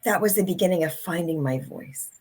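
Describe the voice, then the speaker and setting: A woman's voice: lowish voice, brisk, a little mellow, breathy edge. A middle-aged woman, an author and speaker, telling her story as an interview guest in an earnest, reflective way.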